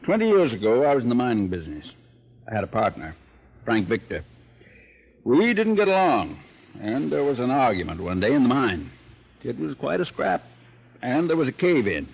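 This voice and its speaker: A gruff, wealthy old American man, proud and secretive. He speaks bluntly and gives orders, in the manner of classic mid-century radio drama. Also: mid-range voice, very animated, normal speed, pauses often, heavily acted. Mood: guarded, confiding. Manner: blunt, commanding. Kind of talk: 1940s-50s American radio drama acting.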